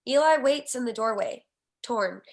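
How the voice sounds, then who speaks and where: Higher-voiced woman reading stage directions. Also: expressive, measured pace. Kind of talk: scripted comedic narration of a screenplay.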